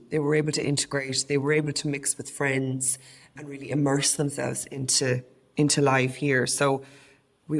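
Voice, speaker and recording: A woman's voice: rumbling low, gravelly. An Irish woman co-hosting a podcast, talking in an earnest, conversational way. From Ireland. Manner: earnest, conversational, with frequent restarts. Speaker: podcast co-host. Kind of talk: casual podcast chat.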